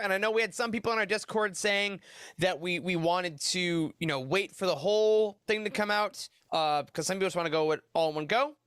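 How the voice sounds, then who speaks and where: High-pitched male host, chatty and upbeat. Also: fairly bright tone, dry recording, clean studio sound. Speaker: podcast host. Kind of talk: casual news roundup chat.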